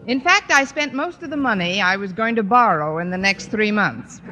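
An actress playing a sardonic schoolteacher who makes dry wisecracks, in the style of 1940s radio comedy. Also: female voice, mid-range voice, normal speed, long reverb tail, close to an everyday voice. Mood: wry, sardonic. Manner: dry, sarcastic, deadpan wisecracks. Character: wry, sardonic unmarried woman English teacher. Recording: very noisy old radio recording.

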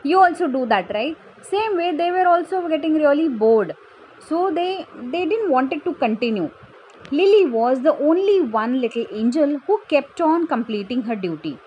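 A woman's voice: high-pitched, big pitch swings, normal speed, darkish tone, slight background noise, low-quality recording. A woman telling a children's story, sweetly encouraging and speaking straight to young listeners with little questions and asides. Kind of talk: bedtime story narration for kids.

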